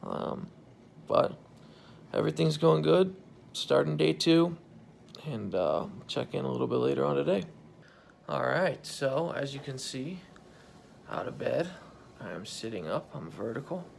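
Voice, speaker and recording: A man in his thirties with a hoarse, croaky voice after intubation, speaking tiredly into a phone held at arm's length in a hospital room. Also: very animated, a little slow, long pauses, slight background noise. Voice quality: gravelly, croaky. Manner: tired, low-key, relieved. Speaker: patient recording a video diary. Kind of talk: casual video journal.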